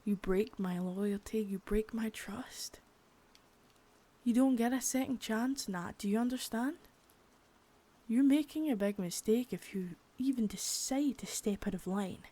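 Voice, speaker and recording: A woman's voice: a little high, a little slow, mellow. A young woman playing a threatening, profane second-in-command crime boss in a whispered ASMR roleplay, close to the mic. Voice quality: husky whisper.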